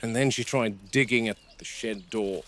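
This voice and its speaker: Low-voiced, watchful game warden played by a man. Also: fairly bright tone, close to an everyday voice. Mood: curious, wary. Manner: measured, analytical, clipped observations. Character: seasoned, watchful male game warden studying animals. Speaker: game warden narrating a field log. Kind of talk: found-footage field log monologue. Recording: noisy VHS-style found-footage audio.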